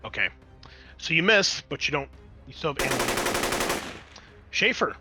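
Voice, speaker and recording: A man's voice: mid-range voice, sing-song, unhurried, music in the background. A man acting as game master, casually explaining rules and narrating a tabletop game.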